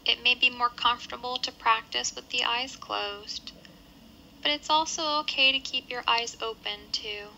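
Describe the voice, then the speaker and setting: Woman doing a guided meditation, very bright crisp-edged voice, a bit high, gentle step by step phrasing, faint noise behind. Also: steady tone, poor audio. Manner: measured, instructional, gentle phrasing with pauses. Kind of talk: guided body scan meditation.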